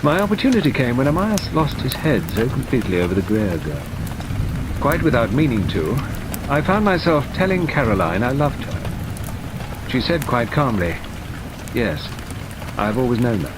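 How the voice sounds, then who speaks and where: A man's voice: mid-range voice, moderate pace, long pauses, lightly acted. A man voicing a bitter, proud middle-aged Englishman making a resentful confession. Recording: steady rain noise behind.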